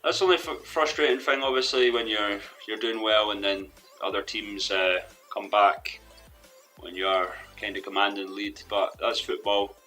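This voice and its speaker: Low bright-toned young man, a bit hoarse. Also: steady tone, moderate pace. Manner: rambling, hesitant, with restarts. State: losing his voice. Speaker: gaming commentator. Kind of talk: let's play commentary. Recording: faint background music.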